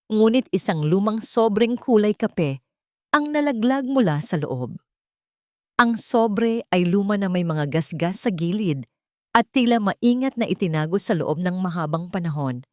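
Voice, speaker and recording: A woman's voice: mid-range voice, a little mellow, dry recording, studio quality. A woman reading a scripted dramatic story in a solemn, melodramatic manner. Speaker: storyteller narrator.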